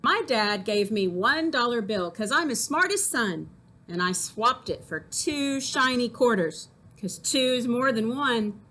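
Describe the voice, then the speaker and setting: A woman's voice: on the high side, expressive, unhurried, bright, forceful tone, full character voice. A woman voicing a naive, boastful young boy in an emphatic children's poem reading. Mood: naive boasting. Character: foolish, proud young boy boasting of bad trades.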